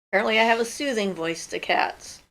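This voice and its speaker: A woman's voice: on the high side, vocal fry, fairly bright tone. An older woman hosting a livestream from home, chatting casually and answering viewers' questions as she thinks aloud. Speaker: host of a magic Q&A livestream.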